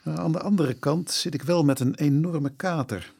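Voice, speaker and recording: An actor playing a cautious, weary police inspector with measured authority in a Dutch radio drama. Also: male voice, on the high side, gravelly, a little mellow, breathy edge. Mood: weary, pondering.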